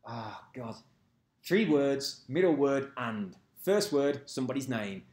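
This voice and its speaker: A man's voice: medium pitch, expressive, takes it slowly, darkish tone. A man rambling casually to camera, swearing freely and losing track of names. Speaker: vlogger. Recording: echoey room.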